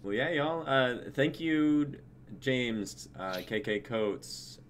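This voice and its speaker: A man's voice: mid-range voice, animated, slow. A young man hosting a livestream in casual sign-off chat. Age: young adult. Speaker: livestream host. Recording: background noise, livestream audio.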